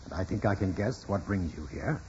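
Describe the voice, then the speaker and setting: Gruff, formal dean, emphatic wide intonation, old-time radio acting on a muffled noisy recording. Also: male voice, sits low, expressive, brisk, darkish tone. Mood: firm, dismissive. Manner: firm, dismissive, formal. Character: gruff, authoritative, shrewd male parish dean. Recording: dull, noisy vintage radio.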